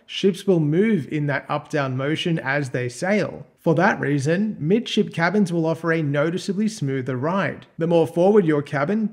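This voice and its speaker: A man, giving upbeat, clear, scripted cruise advice to camera from a ship's suite. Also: a little high. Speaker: cruise vlogger. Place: cruise ship suite living room.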